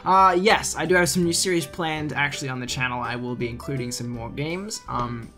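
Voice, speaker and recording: A young man from Queensland with an Australian accent, answering viewer questions in a chatty, relaxed gaming vlog full of fillers. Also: mid-range voice, brisk. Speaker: gaming YouTuber. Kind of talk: casual Q&A vlog. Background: faint guitar music.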